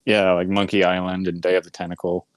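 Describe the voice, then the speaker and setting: Low-voiced man in casual podcast chat. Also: level tone, fast, no room sound. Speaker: podcast co-host.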